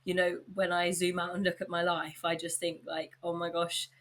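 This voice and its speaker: A young woman with an English accent hosting her own podcast, chatting warmly and informally, a little husky from a sore throat. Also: on the low side, completely flat delivery, moderate pace. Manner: upbeat, chatty, lots of likes and you knows. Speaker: podcast host. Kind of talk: casual solo podcast chat.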